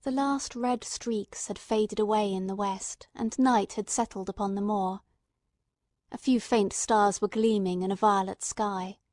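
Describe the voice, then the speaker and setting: A woman's voice: mid-range voice, steady tone, unhurried, a little mellow, no echo, clean studio sound. A woman reading scripted literary narration as an audiobook narrator.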